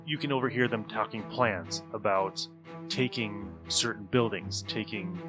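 A man acting as game master, narrating a tabletop adventure in an informal, halting way. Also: on the low side, steady tone. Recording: music under the talk.

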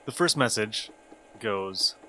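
A man's voice: fairly low pitch, expressive, slow, bright, forceful tone, a little hiss or hum. A man narrating a tabletop roleplaying game casually as game master.

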